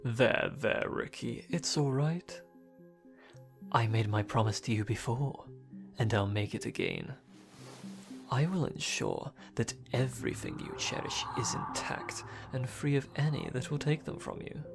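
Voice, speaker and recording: A man's voice: medium pitch, very animated, measured pace, gravelly, over music. A man playing a suave, teasing and devoted guardian demon with a menacing edge in an audio drama. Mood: soothing, menacing.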